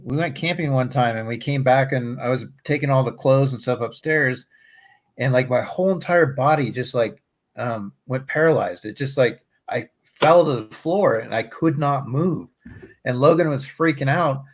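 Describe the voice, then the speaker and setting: A man's voice: medium pitch, normal speed. A man who just turned fifty chatting in a group call, telling things in a loose, rambling way full of "like" and "you know". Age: 50s. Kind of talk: casual group chat.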